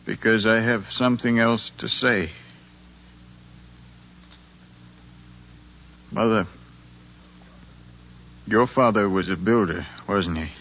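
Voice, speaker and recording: An actor playing an earnest, insistent middle-aged son pleading with his mother, in a deliberate, impassioned American radio drama style from the 1950s. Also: lowish voice, a bit bright. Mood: earnest, tender. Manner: impassioned, deliberate, speechlike with repeated phrases. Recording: dull 1950s radio recording, music.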